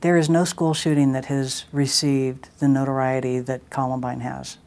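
A man's voice: medium pitch, little pitch movement, normal speed, a little mellow. Emphatic American man analysing events. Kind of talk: reflective interview analysis.

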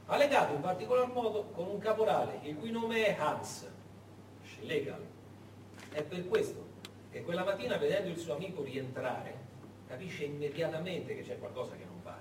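A man narrating a story on stage in a dramatic, theatrical way, with deliberate pauses. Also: high voice. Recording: echoey room, far mic, faint noise.

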